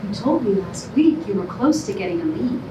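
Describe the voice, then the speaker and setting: A woman's voice: medium pitch, animated, fairly quick, lightly acted. A woman playing an earnest, pleading contestant with careful, formal phrasing in a staged comedy reading. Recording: roomy, distant, noisy live stage recording.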